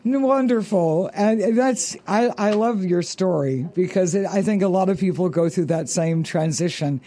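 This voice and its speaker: A man hosting a radio talk show, bubbly and quick to laugh, stretching words for emphasis. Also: high-pitched, dark tone. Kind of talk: upbeat radio interview.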